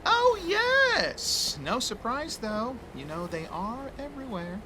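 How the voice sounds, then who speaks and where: A man's voice: very high voice, big pitch swings, takes it slowly, background noise, full character voice. An actor playing a campy, theatrical middle-aged male ghost hunter in an audio drama, grandiloquent and airy. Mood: theatrical, boastful. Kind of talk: audio screenplay acting.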